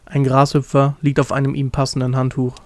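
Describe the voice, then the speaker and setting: A man's voice: mid-range voice, completely flat delivery, fairly quick, darkish tone, dry recording. A man reading a scripted comic story aloud as its narrator.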